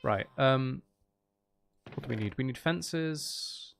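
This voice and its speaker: Creaky-voiced man casually streaming a game. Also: medium pitch, slow, a little hiss or hum. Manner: thinks out loud, sweary, banters at game characters. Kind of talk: casual gameplay commentary with chat.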